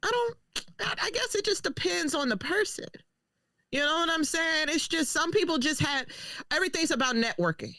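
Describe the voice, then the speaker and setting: A woman co-hosting a podcast, teasing and talking loudly in an animated, casual chat. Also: extremely high pitch, normal speed, dry recording. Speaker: podcast co-host.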